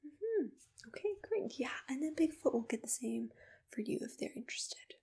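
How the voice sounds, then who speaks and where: A woman speaking in a breathy, mostly whispered voice, gently playing an attentive agency assistant in an ASMR roleplay, close to the microphone. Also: high voice, very animated. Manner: gentle, personal, unhurried customer-service address.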